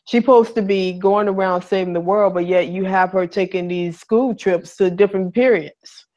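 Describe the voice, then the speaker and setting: A woman's voice: medium pitch, fairly even intonation, moderate pace, a little mellow. Blunt, outspoken woman co-host. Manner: blunt and outspoken, informal grammar. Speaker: podcast co-host. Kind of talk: casual opinionated chat. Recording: muffled lo-fi audio.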